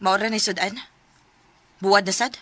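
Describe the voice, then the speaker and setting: A woman acting a quarrelsome, discontented young mother in a Filipino radio drama, with a Cebuano accent and a sharp, complaining manner. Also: mid-range voice, fairly even intonation, normal speed, close to an everyday voice. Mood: scornful.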